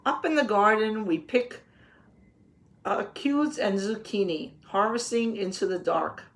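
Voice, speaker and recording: A woman reading a children's picture book aloud, pausing to explain things simply and put questions to the young listeners. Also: medium pitch. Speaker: read-aloud teacher.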